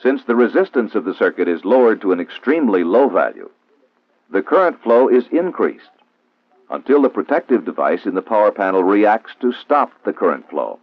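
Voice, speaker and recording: A man's voice: fairly low pitch, very animated, no room sound. Band-limited 1960s film narrator, American man. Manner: formal, measured, didactic. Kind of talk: scripted instructional narration, 1960s training film. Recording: old 1960s film soundtrack, narrow phone-like band, dry.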